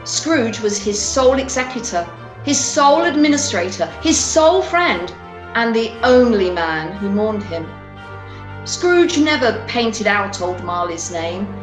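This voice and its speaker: A woman's voice: mid-range voice, sing-song, a little slow, soft background music. Woman storyteller in a roomy echo. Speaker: narrator. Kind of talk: storytelling narration for amateur radio play.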